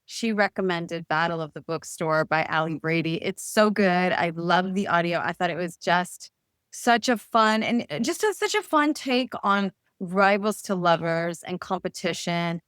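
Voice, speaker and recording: A woman's voice: on the low side, normal speed, a bit bright, smooth, no echo, studio quality. A woman speaking enthusiastically and effusively as a guest author in a casual interview.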